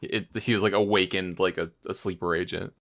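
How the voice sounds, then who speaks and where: A man co-hosting a podcast, chatting casually in halting, filler-heavy phrases. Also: low-pitched, fairly even intonation, fairly bright tone. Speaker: podcast co-host. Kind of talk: casual chat.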